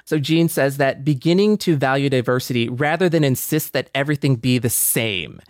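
A man's voice: medium pitch, expressive, moderate pace, no room sound, studio quality. A man hosting a reflective, casual podcast discussion in an appreciative, agreeable manner. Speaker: podcast host.

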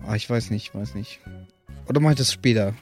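A man's voice: fairly low pitch, a little fast, soft background music. A man chatting casually in a group while working through character options. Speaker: podcast player creating a character.

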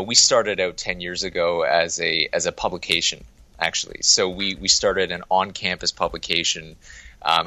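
A young man with a deep, forceful voice, recorded dry and close. He talks business in a brisk, chatty way, tripping over restarts as ideas pile up. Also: dry recording. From Toronto, Canada. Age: young adult. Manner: quick, chatty, many restarts. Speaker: marketing agency president. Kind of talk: casual interview talk. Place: remote interview, Toronto office.

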